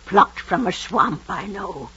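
An actress playing a cackling, sinister old witch who sells poisons in an old radio drama, with a raspy, creaky voice and an incantatory manner. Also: medium pitch, lively intonation, slow pace, fairly bright tone. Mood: sinister glee. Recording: dull vintage radio recording.

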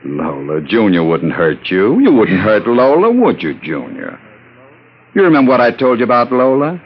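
An actor playing a cold, commanding crook who coolly coaxes and menaces in an old-time radio drama. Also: male voice, on the low side, sing-song, normal speed, slightly rough, highs cut off, exaggerated voice. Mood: smug, coaxing. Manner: domineering, coolly coaxing. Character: cold, commanding, menacing male crook, smooth-talking boss.

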